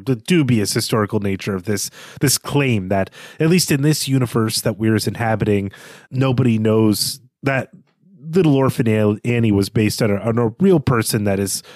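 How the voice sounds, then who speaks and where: A man with an average-pitched voice discusses literature as a podcast co-host. His delivery is conversational and explanatory, measured but with emphatic stresses on key words. The sound is dry, with very little room echo.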